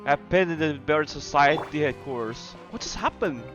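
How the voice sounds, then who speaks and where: A man's voice: fairly high pitch, expressive, moderate pace. A man giving casual live gameplay commentary, often searching for words and repeating himself. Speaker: game streamer. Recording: slightly dull, lo-fi microphone. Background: game music.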